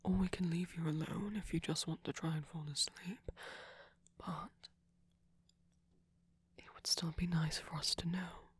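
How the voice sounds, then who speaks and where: A woman playing a gentle, doting vampire boyfriend in a soft-spoken romantic ASMR roleplay, tender and lightly teasing, often whispering close to the microphone. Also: mid-range voice, little pitch movement, a little slow, pauses often, slightly rough. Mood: gentle reassurance.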